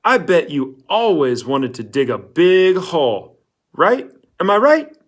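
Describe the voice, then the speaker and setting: Guy doing a pushy teen camp ringleader from an audiobook, voice jumps up high, insistent, needling. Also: big pitch swings, slow, heavily acted. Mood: cocky, insistent. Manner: insistent, needling, tag questions. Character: cocky, pushy teenage boy, ringleader at a camp.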